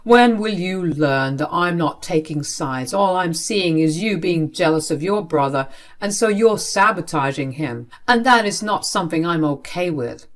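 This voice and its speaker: A woman's voice: fairly low pitch, expressive, a little slow. An angry, stern father from a family drama story as voiced by a woman narrator. He scolds and accuses with hard authority, laying down punishments as the unfair head of the house. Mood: angry, accusing.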